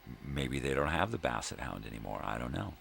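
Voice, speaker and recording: Very deep, gravelly man rambling casually. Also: very animated, fairly bright tone. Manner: halting, meandering, repeats himself; grumbling, opinionated, swears casually. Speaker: host of a daily morning video log. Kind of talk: rambling casual solo chat. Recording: noticeable background noise.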